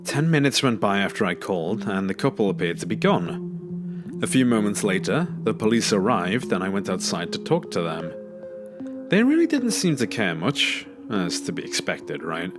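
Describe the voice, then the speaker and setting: A man's voice: fairly low pitch, big pitch swings, normal speed. A man reading a scripted first-person scary story as a narrator. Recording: background music under the voice. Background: music.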